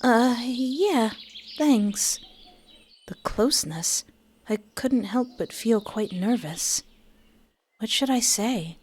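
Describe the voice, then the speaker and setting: A woman's voice: slow pace, pauses often, slight background noise. A woman reading a visual novel aloud, voicing the narration and the dialogue expressively. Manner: expressive, shifts pitch for each character.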